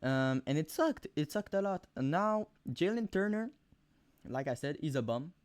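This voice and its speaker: A young man with a thick Quebec French accent giving rambling, profane fight predictions on camera, full of fillers and sudden animated outbursts. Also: a little high, normal speed, no room sound. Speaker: MMA video host. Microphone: camera mic, close.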